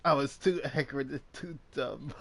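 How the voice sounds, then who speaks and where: A man giving casual gameplay commentary with playful, mock-dramatic asides. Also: mid-range voice. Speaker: gaming video host. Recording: clearly noisy home recording.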